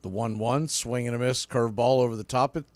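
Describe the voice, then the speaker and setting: A man's voice: medium pitch, steady tone, fairly quick, a little mellow, no echo. A man doing live baseball play-by-play in clipped short phrases, with casual asides.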